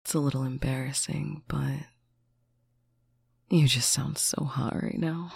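A speaker in a slow, intimate roleplay, talking softly to a faraway partner on a bedtime call, often dropping into a whisper. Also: medium pitch, steady tone, gravelly, breathy edge, no room sound, clean studio sound. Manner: soft, affectionate, often whispered. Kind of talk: intimate roleplay monologue to the listener.

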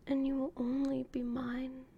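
A young woman whispering slowly and intimately as a doting, possessive girlfriend in an audio roleplay. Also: high voice, completely flat delivery, creaky, husky, phone-quality sound, heavily acted. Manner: soft whispering, slow and intimate.

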